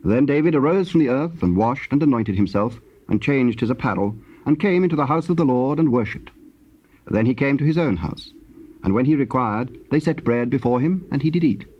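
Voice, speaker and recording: A man with a husky voice narrating scripture in a solemn, scripted style. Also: mid-range voice, lively intonation, a little fast. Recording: dull, lo-fi tape sound.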